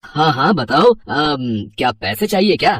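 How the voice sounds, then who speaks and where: A woman's voice: low-pitched, big pitch swings, normal speed, husky, dry recording, studio quality, voice pushed down, full character voice. A woman voicing a simple, trusting male street vendor and family man in a moral story, earnest and plain-spoken. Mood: friendly, concerned.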